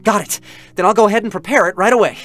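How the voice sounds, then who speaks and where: A man's voice: sits high, racing, fairly bright tone. An eager, boyish android scout, light and youthful for a male voice. He talks earnestly and openly, with a hesitant, emotional streak, in a dramatic game-story reading over music. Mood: anxious, caring.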